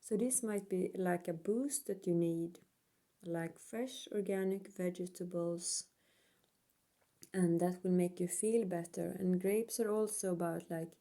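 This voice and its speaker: A woman with a Swedish accent doing a casual tarot reading, hesitant and full of pauses, speaking English as a second language. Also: on the low side, fairly even intonation, mellow. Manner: hesitant, many ums and pauses. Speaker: tarot reader. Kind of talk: slow casual card reading.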